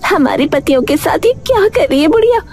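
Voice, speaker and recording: Suspicious village wife, played by a woman: high, alarmed, questioning, animated-story style over music. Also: brisk, heavily acted. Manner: urgent, questioning. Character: alarmed, suspicious village women wronged by their husbands.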